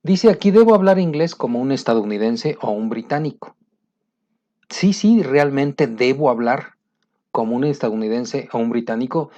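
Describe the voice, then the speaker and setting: Middle-aged Latin American man from a teaching video, conversational, plenty of filler pauses, mellow tone, muffled lo-fi sound. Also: mid-range voice. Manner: conversational, pausing often with fillers. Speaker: English teacher. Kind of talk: motivational video lesson. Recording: muffled lo-fi recording.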